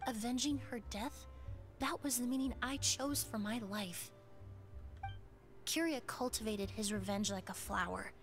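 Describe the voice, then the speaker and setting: An actress playing a composed, gentle young woman perfumer in a video game, speaking in a measured, reflective and solemn way. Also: on the high side. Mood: somber. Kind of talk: scripted video game dialogue. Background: background music.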